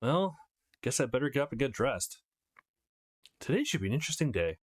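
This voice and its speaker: A man's voice: medium pitch, sing-song, a little fast. A man reading the part of a cocky but easygoing eighteen-year-old schoolboy in a visual novel, conversational and self-assured. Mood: musing, cocky.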